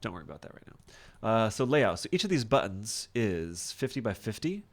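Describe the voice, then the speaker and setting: A man teaching software in a casual screen-share tutorial, thinking aloud informally as he demonstrates each step. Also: lowish voice, big pitch swings, moderate pace. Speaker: software instructor.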